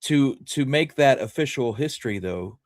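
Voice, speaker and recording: A man co-hosting a casual history discussion, explaining his points in a measured, deliberate way. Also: mid-range voice, expressive, dry recording. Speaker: podcast co-host.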